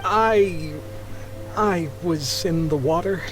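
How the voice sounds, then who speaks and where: A man's voice: a little high, slow pace. An actor playing an embarrassed, grateful married sea captain in a video game, flustered and apologetic as he talks. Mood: sheepish. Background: background music.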